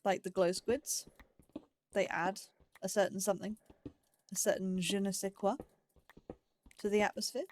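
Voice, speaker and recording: A woman's voice: medium pitch, little pitch movement, moderate pace, long pauses, a little mellow, slight background noise. A woman giving casual let's play commentary, chatting and musing aloud in a self-deprecating way. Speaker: gaming YouTuber, host of a let's play series.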